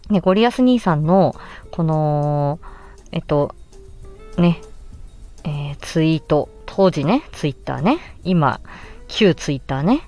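A woman hosting a casual solo podcast, chatting in an animated way with emphatic exclamations as she looks back on a project. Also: lowish voice. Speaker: podcast host and amateur voice actress. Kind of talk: casual solo chat looking back on an audio drama.

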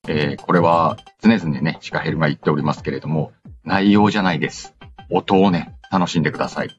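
A man's voice: rumbling low, a little fast, muffled recording. A man hosting a casual radio-style show, reading out letters and announcements with playful asides. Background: background music.

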